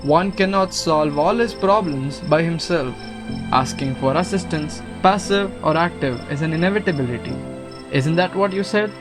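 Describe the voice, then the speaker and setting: A man playing a kindly guru in an audio drama, speaking in a gentle, measured, instructive way. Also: fairly high pitch, natural voice. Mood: reassuring, instructive. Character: calm, kindly male guru counselling a troubled student. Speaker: student actor. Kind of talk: scripted audio drama acting. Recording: music under the voice. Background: music.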